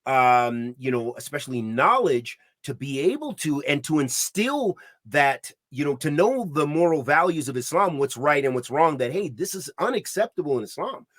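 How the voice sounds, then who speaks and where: A man with a fairly high voice speaks on a podcast in an emphatic, preaching manner. He restarts his sentences often and scatters Arabic religious terms through his talk. The sound is dry and close. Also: big pitch swings, normal speed, hardly any pauses, no room sound. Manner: grows louder and higher when heated. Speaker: podcast co-host. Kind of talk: impassioned podcast discussion.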